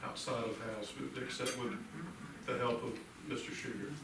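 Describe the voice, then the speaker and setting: A man's voice: mid-range voice, fairly even intonation, moderate pace, creaky. A man answering as city manager at a public meeting. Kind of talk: brief meeting response. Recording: echoey room, far mic, background noise.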